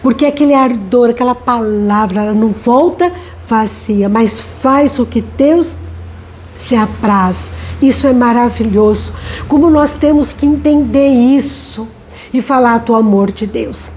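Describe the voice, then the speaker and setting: A middle-aged woman from Brazil giving a gentle devotional talk, unhurried and often adding tag questions. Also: on the high side, slight background noise. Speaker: devotional speaker.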